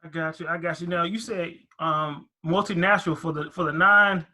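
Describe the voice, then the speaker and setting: A man's voice: fairly high pitch, steady tone, normal speed, pushed and bright. A man hosting a podcast, chatting casually and joking with his guest. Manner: playful, joking banter with guest. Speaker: podcast host. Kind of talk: casual interview chat.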